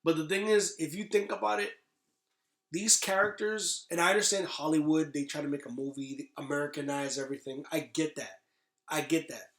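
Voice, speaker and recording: A man in his thirties with a fairly high voice, talking in a very roomy, echoey space. He gives casual, opinionated movie commentary as a podcast co-host, repeating himself to drive points home. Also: fairly even intonation, brisk, a bit bright. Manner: emphatic, repeats phrases for stress.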